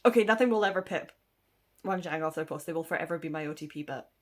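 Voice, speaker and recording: Sniffly, chatty woman with a low voice. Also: fast. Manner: chatty, self-deprecating, laughs often, trails off with ums. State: sniffly with a mild cold. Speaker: book vlogger. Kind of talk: casual rambling chat to camera. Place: indoors at home.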